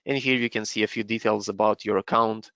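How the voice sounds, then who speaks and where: A man from Romania, presenting a live software webinar and explaining features step by step with frequent fillers and restarts. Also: on the low side, fairly even intonation, fairly quick, no room sound, muffled recording. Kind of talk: live webinar product demo.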